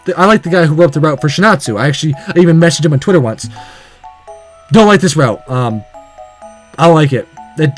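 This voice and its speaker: Game video host, casual rant, blunt, exasperated, halting, animated intonation. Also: mid-range voice.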